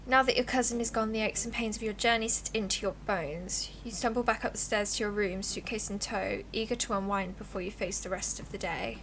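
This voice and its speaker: A woman livestreamer giving casual, expressive, giggly game commentary and reading the game's text aloud. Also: medium pitch, fairly quick, few pauses, a little hiss or hum, low-quality recording. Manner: giggly, expressive, reacts out loud.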